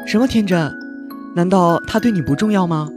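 A woman playing an eager, innocent and polite young boy in a fantasy audio drama, earnest and lively. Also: fairly low pitch, fairly quick, music in the background, lightly acted. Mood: eager, questioning.

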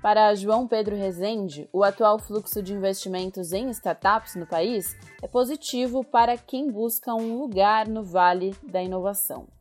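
A woman reporting and narrating a scripted radio news feature, measured, and speaking straight to the listener. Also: mid-range voice, soft background music. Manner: measured, addresses the listener directly.

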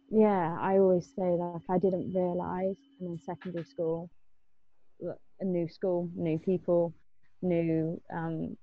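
A woman's voice: lowish voice, creaky, no room sound. Young English woman, thin phone-like sound. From England. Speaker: participant sharing personal experiences in a group discussion. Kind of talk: casual personal recollection.

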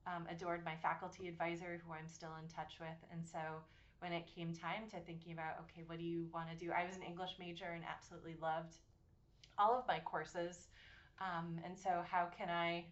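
A woman in her forties from Massachusetts, co-hosting a podcast and telling her story with earnest, warm detail and frequent ums. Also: lowish voice, level tone, brisk, dull audio. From Massachusetts, USA. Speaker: podcast co-host, university program director. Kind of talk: casual podcast chat.

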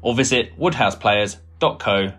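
A man's voice: on the low side, animated, moderate pace, fairly bright tone, slightly rough. Male announcer over guitar music. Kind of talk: scripted credits narration.

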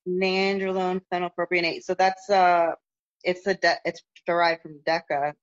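A woman's voice: level tone, normal speed. A young woman, a competitive lifter, chatting loosely in an interview over the phone, with lots of fillers and restarts and a voice that has lost its upper register. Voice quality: lost her upper register, no longer high. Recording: muffled phone-call audio.

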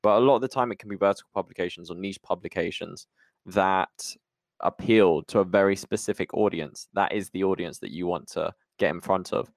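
A man with a deep voice hosts a podcast interview. His delivery is conversational, and the sound is dry with hardly any room echo. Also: a little fast, creaky. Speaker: podcast host.

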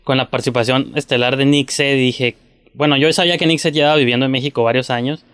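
A man's voice: medium pitch, fairly even intonation, quick pace, a bit bright, smooth, dry recording. A man interviewing a guest in a chatty, rambling, slangy way. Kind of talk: casual interview talk.

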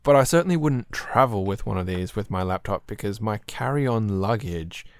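Man, podcast host, throaty, clears throat a lot, laid-back rambling, dry close sound. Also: lowish voice, animated, moderate pace, no echo. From Australia. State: slightly throaty. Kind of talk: casual chat about keyboards.

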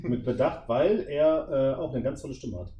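A man's voice: mid-range voice, lively intonation, normal speed, dull audio. A man hosting a casual podcast chat in a teasing, jokey manner. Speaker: podcast host. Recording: echoey room.

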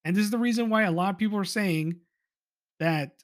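A man with a notably high voice hosts a solo podcast. He talks casually and informally, giving exasperated opinion commentary. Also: fairly quick. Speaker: podcast host.

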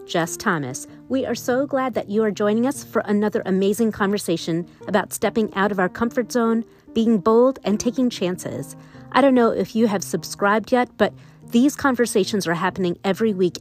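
A woman's voice: mid-range voice, moderate pace, a little mellow, soft background music. A woman hosting a podcast, reading an enthusiastic intro and interviewing her guest. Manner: upbeat, enthusiastic. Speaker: podcast host. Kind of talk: scripted intro and interview chat.

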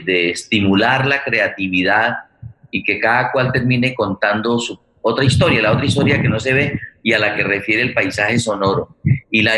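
Guy moderating a talk, kinda low but bright sharp voice, stop-start, repeats himself. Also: low-quality recording. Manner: halting, repeats words as he formulates. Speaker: forum host. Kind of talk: conversational forum moderation.

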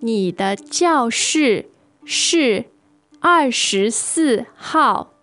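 A fairly high-voiced woman co-hosts a podcast language lesson, speaking very slowly. She models phrases with careful pronunciation, and her intonation moves a great deal. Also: expressive, a bit bright, dry recording, faint music behind. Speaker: native-speaker co-host teacher.

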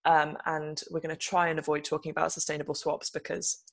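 A woman's voice: low-pitched, little pitch movement, a little fast, creaky. A young woman from the UK hosting a podcast, chatty and friendly with lots of ums. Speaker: podcast host.

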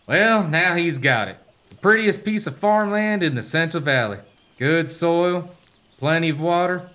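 A man's voice: on the high side, lively intonation, measured pace, bright, forceful tone. A man playing a folksy old ghostly grandpappy in a family radio play, drawling courtly old-timey sayings. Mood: regretful.

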